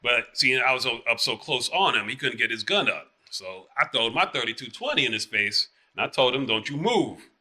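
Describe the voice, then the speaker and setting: A man's voice: mid-range voice, very animated, moderate pace, pushed and bright. A man playing a boastful, evasive small-town marshal with a Southern US accent, telling rambling tales in theatrical dialect.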